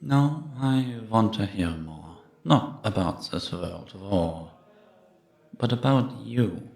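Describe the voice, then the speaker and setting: A man playing a gentle, patient priest in a cinematic audio roleplay, probing with measured, contemplative questions. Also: on the low side, animated, creaky, exaggerated voice. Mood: curious. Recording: echoey, reverberant room.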